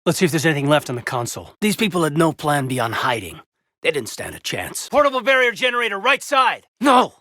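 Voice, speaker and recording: A man playing an earnest, loyal and conflicted space marine officer in a video game, with a husky voice and a sincere military manner. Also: a little high, big pitch swings, brisk, pushed and bright, dry recording, clean studio sound, full character voice. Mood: urgent combat. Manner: sincere, military, clipped and intense. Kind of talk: video game voice acting, dramatic dialogue.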